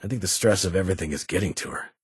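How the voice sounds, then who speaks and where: An actor playing a weary, formal middle-aged military security chief in a video game, speaking in a restrained, dutiful way. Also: male voice, deep voice, rapid-fire, slightly rough, no echo, clean studio sound. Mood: businesslike. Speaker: vault chief of security, former army science advisor. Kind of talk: video game character dialogue.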